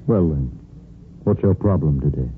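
An actor playing a kindly older hospital chief of staff in a 1950s radio soap, reassuring and paternal. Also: male voice, extremely low pitch, lively intonation, moderate pace. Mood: concerned. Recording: muffled, noisy 1950s radio recording.

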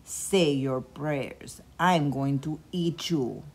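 A woman voicing a male goat-eating monster who drawls his threats slowly and loudly. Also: deep voice, husky, pitched below the performer's own voice, full character voice. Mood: menacing. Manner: slow, drawn-out, loud and menacing.

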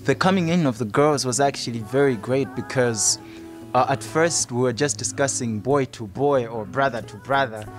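A man's voice: medium pitch, moderate pace. Explanatory young man over background music. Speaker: youth theatre project facilitator. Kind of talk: documentary interview account. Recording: music playing under the voice.

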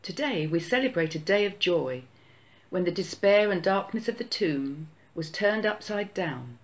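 Gravelly-voiced church prayer leader. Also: medium pitch, animated. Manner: slow, measured, solemn reading. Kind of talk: read-aloud Easter prayer.

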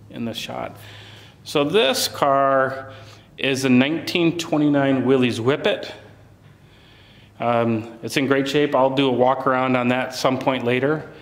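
A man in his forties giving a casual, relaxed walking tour of his homestead, thinking aloud with fillers as he films himself on a handheld camera. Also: medium pitch. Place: outdoors and in farm buildings. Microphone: handheld camera mic, filming himself.

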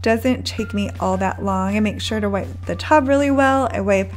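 A woman's voice: mid-range voice, moderate pace, soft background music. A woman in her thirties narrating her cleaning routine in a friendly, chatty voice-over, recommending products. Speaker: home cleaning vlogger.

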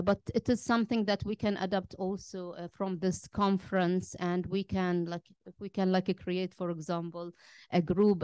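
A woman's voice: medium pitch, dark tone, smooth, no echo. A woman speaking on a conference panel, hesitant, with many fillers and restarts. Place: conference room.

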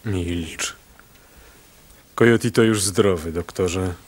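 An actor playing a proud, stubborn pearl diver and stern husband in a radio play, terse and grave. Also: male voice, low-pitched, very slow.

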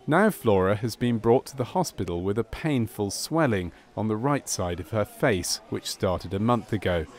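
A man reading scripted documentary narration about a medical case in a measured, clinical manner. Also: fairly low pitch, expressive, faint music behind.

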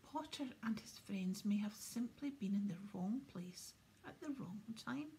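A woman voicing a cold, sneering male teacher who speaks slowly and softly. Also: medium pitch, expressive, slight background noise, low-quality recording. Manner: sneering, slow.

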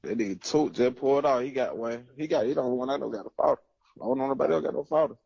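A man chatting casually in slang on a recorded phone call, with a gravelly, husky voice and dull sound. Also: mid-range voice, very fast, darkish tone, dry recording.

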